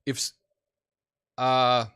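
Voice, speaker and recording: Booming, slow-talking opinionated male host. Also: completely flat delivery, bright, forceful tone, clear, resonant tone. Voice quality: big booming voice. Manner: opinionated, rambling with many fillers. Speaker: podcast host. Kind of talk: casual political commentary.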